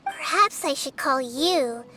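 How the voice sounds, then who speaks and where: A woman voicing a small childlike goddess in a video game, calm and probing, speaking in measured, articulate explanations. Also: high-pitched, noisy background. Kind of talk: scripted video game voice acting.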